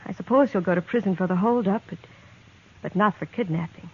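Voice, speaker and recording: A woman's voice: medium pitch, brisk, breathy edge. An earnest young frontier woman, tinny radio. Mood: explaining, sincere. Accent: American. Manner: earnest, quietly determined. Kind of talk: 1950s Western radio drama acting. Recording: tinny, narrow-band 1950s radio recording.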